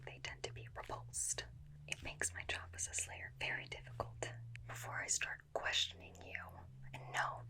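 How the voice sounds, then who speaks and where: A woman playing an obsessed, bossy yet caring vampire slayer in a hushed ASMR roleplay, coaxing a captive listener up close into a binaural microphone. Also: natural voice, rough recording. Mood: bossy, soothing.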